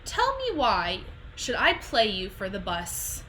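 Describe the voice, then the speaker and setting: A woman voicing a gruff, stubborn male junkyard boss from a children's picture book, in a blunt, terse manner. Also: mid-range voice, huge pitch swings, slow pace, pushed and bright, a little hiss or hum, full character voice. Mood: challenging.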